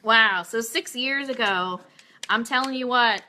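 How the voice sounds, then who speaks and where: A woman's voice: on the high side, unhurried, bright, forceful tone, a little hiss or hum. A woman hosting a casual fan radio show, talking in an enthusiastic, informal way as she plugs things.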